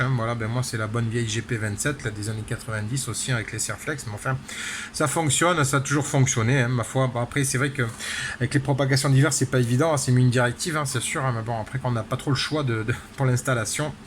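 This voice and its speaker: A man's voice: medium pitch, steady tone, fast. Rambling male radio operator over background noise. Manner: rambling, full of repeated tag words. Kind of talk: casual radio contact chat.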